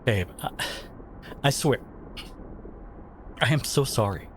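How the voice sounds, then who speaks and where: A man playing a devoted, protective boyfriend in a dramatic roleplay audio, speaking emphatically with sarcastic edges and dramatic pauses. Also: mid-range voice, animated, a bit bright, a little hiss or hum. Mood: apologetic, upset.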